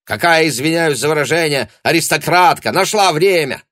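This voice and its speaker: A man's voice: medium pitch, sing-song, gravelly, slightly rough, no room sound, voice pushed up, heavily acted. Audiobook character, man playing agitated hustler shopkeeper, blustering, colloquial, very dry sound. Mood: furious. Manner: blustering, quick to shout, colloquial.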